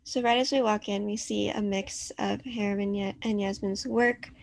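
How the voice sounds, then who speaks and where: A woman's voice: medium pitch, fairly even intonation, normal speed, creaky, dry recording. A woman curator presenting a virtual artist talk from her apartment, polite and full of ums. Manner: polite, filler-heavy presenting.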